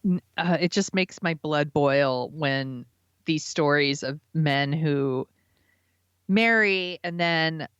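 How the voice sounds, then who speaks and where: A woman with a low voice chats casually as a podcast co-host, reacting bluntly and swearing freely. The recording is dry and a little dull, without much top end. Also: slow, fairly bright tone, clean tone, no room sound.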